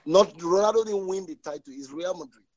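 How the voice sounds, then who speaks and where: A man debating football on a casual sports podcast, halting and restarting his phrases. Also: high voice, a little mellow, highs cut off. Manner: halting, restarts words, frequent agreeing "exactly". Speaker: podcast panelist.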